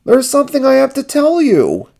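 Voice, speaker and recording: Storybook casting: earnest, excitable boyish puppet, very high and swooping, exclamatory performed by an adult reader. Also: normal speed, vocal fry, full character voice. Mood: troubled, pleading. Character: Ernie, earnest excitable childlike male puppet character.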